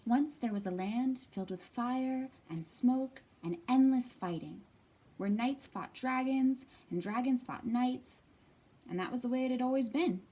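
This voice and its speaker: Slow, higher-voiced woman leading children's storytime. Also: dark tone. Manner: deliberate, encouraging, asks questions and pauses. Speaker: library storytime host. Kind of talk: children's lesson and read-aloud. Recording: distant mic with clear background noise.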